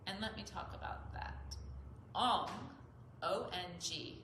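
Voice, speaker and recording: A woman's voice: mid-range voice, takes it slowly, fairly bright tone, echoey room, slight background noise. A woman yoga teacher with a slightly nasal voice, explaining mantras earnestly and often repeating her phrases. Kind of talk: spoken yoga instruction. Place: yoga studio.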